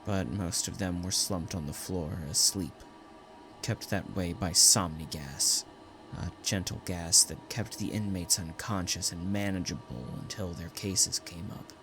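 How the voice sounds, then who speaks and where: A haunted prisoner condemned to die tells his story, acted by a man. His low male voice moves slowly and theatrically, brooding and afraid, in the manner of dramatic audio fiction, with music clearly playing underneath. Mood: tense, grim. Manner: theatrical, anguished, swelling from hushed to urgent. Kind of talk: dramatic first-person audio drama narration.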